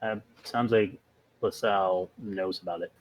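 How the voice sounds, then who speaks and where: A man playing a tabletop role-playing game, talking casually and haltingly as he works things out. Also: deep voice, steady tone, poor audio. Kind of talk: casual in-character role-play chat.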